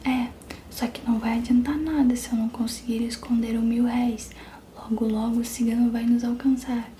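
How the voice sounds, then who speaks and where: Woman whispering a young boy, earnest, worried, soft storybook voice, dark tone, echoey room. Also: on the high side, little pitch movement, moderate pace, a little hiss or hum, lightly acted.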